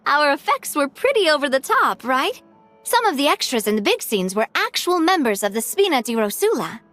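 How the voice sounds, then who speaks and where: Perky, very high-pitched girlish gamer. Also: big pitch swings, moderate pace, fairly bright tone. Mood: cheerful. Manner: giggly, enthusiastic. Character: perky, playful young female tabletop-game fan. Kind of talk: anime video game voice acting. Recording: music playing behind the voice.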